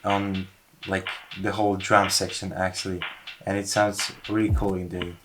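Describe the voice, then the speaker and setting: A man's voice: low voice, little pitch movement, moderate pace, background noise. A man music producer casually walking through how a track was made. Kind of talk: casual studio walkthrough.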